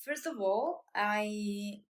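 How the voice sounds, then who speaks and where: A woman's voice: medium pitch, drawn-out words. Italian woman, thirties, non-native English, casual and hesitant vlogger. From Italy. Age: 30s. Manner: chatty, hesitant, with fillers and restarts. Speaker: vlogger, business owner. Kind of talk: casual personal vlog.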